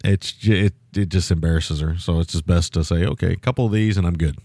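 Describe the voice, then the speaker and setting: Loud, low-voiced man chatting about films. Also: fast, dry recording. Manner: rambling, many fillers and restarts. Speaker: podcast co-host. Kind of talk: casual film-review chat.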